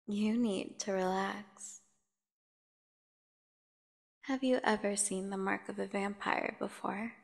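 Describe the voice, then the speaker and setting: A woman playing a captive female vampire in an ASMR audio drama, seductive, taunting and menacing, telling her tale with teasing pauses and dropping at times to a near whisper. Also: medium pitch, creaky. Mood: coaxing, mocking. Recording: long hall-like reverb.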